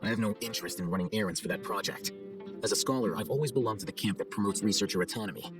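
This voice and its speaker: Man as an aloof sardonic game scholar, lowish voice, brisk pace, condescending. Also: recorded from a distance, over music, natural voice. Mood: detached. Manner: dry, detached, condescending. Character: aloof, self-assured male scholar, coolly sardonic. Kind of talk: video game cutscene voice acting.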